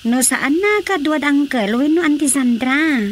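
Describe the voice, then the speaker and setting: A woman's voice: sits high, expressive, a little slow, a little hiss or hum. A woman with an Ilocano Filipino accent, acting a sassy, scolding young woman in a radio comedy drama. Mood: chatty, concerned.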